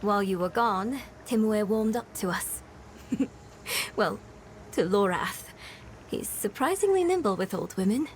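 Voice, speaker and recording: A woman's voice: mid-range voice, expressive, a little slow, long pauses, slight background noise, natural voice, low-quality recording. Woman acting a determined young fantasy heroine, earnest. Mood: amused. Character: determined, grieving young woman on a dark quest. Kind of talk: dramatic video game acting. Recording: music behind the voice.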